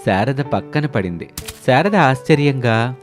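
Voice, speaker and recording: A man tells a cartoon story as scripted narration. His voice sits at a middle pitch. Music plays underneath. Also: animated, unhurried.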